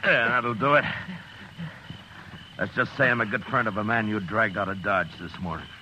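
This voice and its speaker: An actor playing a stern, terse frontier US marshal who narrates and talks gruffly, in 1950s radio drama. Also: male voice, lowish voice, normal speed, sharp tone, a little hiss or hum, poor audio. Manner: curt, gruff, commanding. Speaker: narrator and lead.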